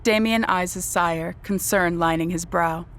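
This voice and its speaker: A woman narrating a dark vampire audio drama in a composed, scripted storytelling style. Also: lowish voice, fairly even intonation, measured pace.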